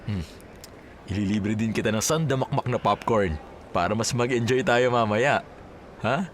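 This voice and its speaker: An actor playing an easygoing, playful friend in a Filipino radio drama, talking casually with a Filipino accent. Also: male voice, on the low side, normal speed, slight background noise, natural voice. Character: easygoing male friend arranging a movie date.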